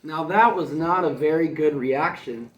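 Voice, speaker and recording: A man who works as a kindergarten teacher reads a storybook aloud for children, pausing to explain it in simple words. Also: on the high side, echoey room, distant mic, a crowd in the background, low-quality recording.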